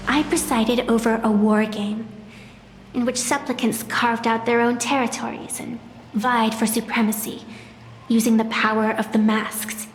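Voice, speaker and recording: A woman's voice: a little high, fairly even intonation, unhurried, close to an everyday voice. A woman voicing an ancient, proud tree spirit in a video game, with a theatrical, wry manner that mixes formality and playfulness. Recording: echoey hall reverb, music behind.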